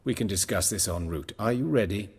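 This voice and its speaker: An actor playing an erudite, steely surgeon in a video game, speaking formally and precisely in a husky low voice. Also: male voice, fairly quick, big-room sound. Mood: dryly composed. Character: composed, erudite male surgeon with steely resolve.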